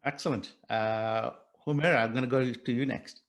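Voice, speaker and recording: A man's voice: medium pitch, steady tone, normal speed. A man moderating a panel discussion, cordial and welcoming, speaking with many fillers and restarts.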